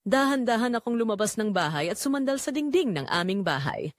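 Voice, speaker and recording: A woman playing a betrayed middle-aged mother, telling her story in first person with solemn, emotive, deliberate phrasing in an audio drama. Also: a little high, very animated, no echo, clean studio sound. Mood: numb, heartbroken. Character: betrayed middle-aged mother and wife, wounded, quietly bitter.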